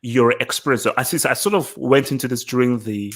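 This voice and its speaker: A man from Nigeria and England hosting a casual live-stream discussion, phrasing things deliberately and repeating them for emphasis. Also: medium pitch, a bit bright. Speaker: podcast host.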